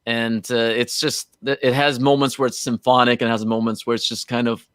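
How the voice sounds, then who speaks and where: A man's voice: mid-range voice, level tone, fairly quick, a bit bright, clean tone, dry recording, studio quality. A young man from Norway, a guest guitarist chatting casually on a video call, hesitant and often restarting his sentences. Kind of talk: casual chat. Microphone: webcam on video call.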